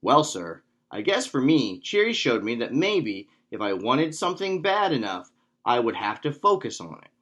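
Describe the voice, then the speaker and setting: A man voicing a playful, excitable young boy student in a children's storybook read-aloud. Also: mid-range voice, normal speed. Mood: excited. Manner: eager, playful.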